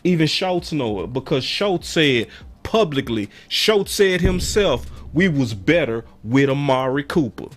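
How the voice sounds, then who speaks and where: A hoarse, raspy-voiced man hosting a sports call-in show, talking in an emphatic, slangy, animated way. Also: on the high side, a little slow, faint music behind. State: hoarse, voice going in and out. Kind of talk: passionate sports commentary to callers and viewers.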